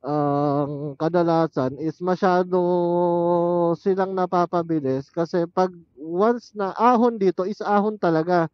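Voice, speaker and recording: A man with a fairly high voice talks casually as he rides, in the manner of a motovlogger thinking aloud. The sound is dull and close, with no room echo, as picked up by a helmet intercom mic. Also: unhurried. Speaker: motorcycle vlogger. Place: outdoors, riding a motorcycle on mountain roads. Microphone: helmet intercom headset used as external mic. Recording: muffled helmet intercom microphone.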